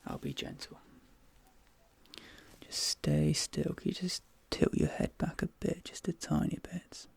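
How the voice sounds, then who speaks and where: A person whispering gently and reassuringly in an ASMR dentist role play, with unhurried short soft phrases, close to the microphone. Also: medium pitch, level tone, no room sound. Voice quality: breathy whispering. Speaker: ASMR performer playing a dentist.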